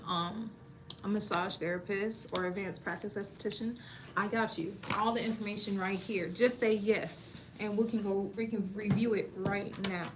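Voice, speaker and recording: A woman in her thirties chatting casually with viewers on a livestream, warm and talkative with frequent laughs, explaining beauty school and her outlook. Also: mid-range voice, background noise. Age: 30s. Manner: chatty, laughs often, calls viewers baby.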